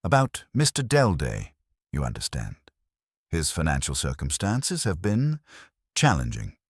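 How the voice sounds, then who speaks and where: A man's voice: extremely low pitch, wildly swooping pitch, measured pace, husky, no echo, clean studio sound, exaggerated voice. A man reading the part of a prim, gossipy townswoman in a confiding, insinuating manner for a mystery story.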